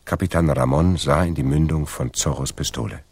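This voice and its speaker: A man's voice: a bass voice, fairly quick, noisy background. A man narrating a swashbuckling radio play as a grave, dramatic storyteller. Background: plucked guitar music.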